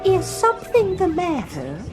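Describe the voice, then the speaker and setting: An actress playing a kindly, motherly older woman in a radio drama, speaking gently and solicitously. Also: extremely high pitch, huge pitch swings, unhurried, over music, heavily acted. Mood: kindly, concerned.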